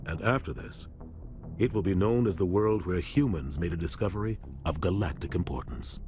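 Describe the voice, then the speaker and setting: An actor playing an earnest, grave veteran spaceship captain in a video game, with a commanding military manner. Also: male voice, low voice, moderate pace, a little mellow, close to an everyday voice. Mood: explanatory, proud.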